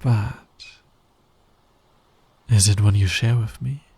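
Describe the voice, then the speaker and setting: A man playing a smug, flirty fantasy villain in an intimate ASMR roleplay, breathy and teasing, often sinking toward a whisper. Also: on the low side, fairly even intonation, darkish tone, no echo, heavily acted. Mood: smitten, confessing. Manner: teasing, slow, deliberate, dominant. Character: flirty, smug male fantasy villain, patient, smitten with hero. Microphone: close microphone, dry sound.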